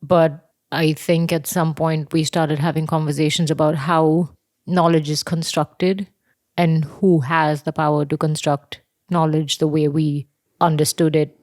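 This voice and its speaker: A woman's voice: sits low, darkish tone, dry recording, clean studio sound. A woman from India talking in a podcast discussion, reflective and searching for her words. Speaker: podcast co-host. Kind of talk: casual discussion.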